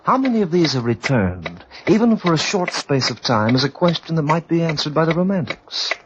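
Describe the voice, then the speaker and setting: An actor as a solemn, mysterious storyteller, narrating a scripted old-time radio drama. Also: male voice, on the high side, very animated, brisk. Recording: noisy dull old radio recording.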